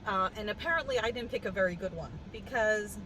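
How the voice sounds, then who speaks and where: A woman with a cough telling a story in a casual vlog, animated and wry, laughing as she goes, sitting in a car. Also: mid-range voice, a little fast, noisy background. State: congested with a cough. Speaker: vlogger. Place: in a car with the air conditioning on.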